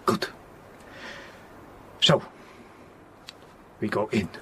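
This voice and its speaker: An actor playing a grave elderly foreign professor in a gothic audio drama, deliberate and halting, repeating words for weight. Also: male voice, a bit bright, slight background noise. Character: grave elderly foreign professor, vampire hunter, patient but relentless. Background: dramatic music.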